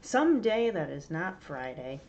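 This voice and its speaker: A woman's voice: deep voice, big pitch swings, measured pace, a little mellow, dull audio, slight background noise. A woman in her thirties hosting a podcast for writers, sounding nasal and stuffy from allergies, talking candidly with plenty of ums and uhs. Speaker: podcast host. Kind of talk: casual solo talk to writers. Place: home room.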